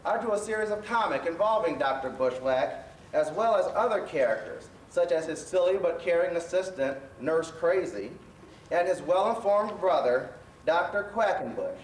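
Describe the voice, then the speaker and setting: A man playing a jovial storytelling professor who hosts a children's stage show with slightly stumbling delivery. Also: on the high side. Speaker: host. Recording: roomy, distant stage recording with faint noise.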